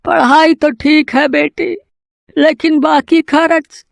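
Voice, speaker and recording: A woman's voice: high voice, steady tone, creaky, no echo, clean studio sound, pitched above the performer's own voice, full character voice. A woman voicing an anxious poor farmer, a pleading father in a cartoon moral story. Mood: worried. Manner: pleading, worried, drawn-out.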